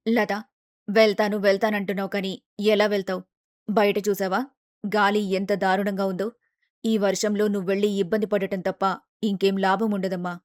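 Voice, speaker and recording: A woman's voice: medium pitch, little pitch movement, normal speed, no echo, studio quality, pitched below the performer's own voice, natural voice. A woman voicing a firm, caring older mother-in-law who gives advice in a storybook tale. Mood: concerned, firm. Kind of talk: storybook character dialogue.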